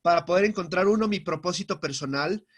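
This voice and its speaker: A man's voice: high-pitched, fairly quick, breathy edge. A young man, giving a personal talk over a video call and often repeating himself as he restarts. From Ecuador. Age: young adult. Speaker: guest speaker.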